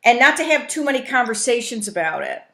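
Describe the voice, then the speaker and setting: Female trainer, higher voice, informal explanatory talk, checks in often, roomy conference-call sound. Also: very animated, fast talker, creaky, fairly bright tone. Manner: explanatory, often checks in with "right?" and "you know". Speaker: trainer, facilitator teaching a coaching tool.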